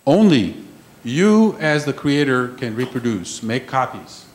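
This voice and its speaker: Man, conference lecture, emphatic, repeats key phrases, average pitch. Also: big pitch swings, unhurried. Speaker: conference panel speaker. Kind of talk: lecture on intellectual property. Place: conference room.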